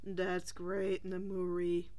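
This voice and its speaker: A woman voicing a tired, unimpressed male hero teacher with a flat, dismissive manner in a fan fiction reading. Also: lowish voice, slow, voice pushed down. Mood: weary, dismissive.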